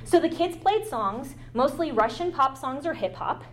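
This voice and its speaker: A woman guest lecturer giving an academic talk, partly read aloud, halting at times with fillers and restarts. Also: high voice, lively intonation, a little hiss or hum.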